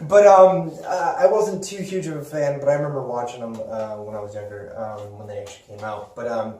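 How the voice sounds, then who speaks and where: A man's voice: medium pitch, fairly even intonation, brisk, warm tone. Echoey, distant man rambling casually. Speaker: podcast host. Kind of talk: casual chat about games and films. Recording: roomy echo, distant from microphone.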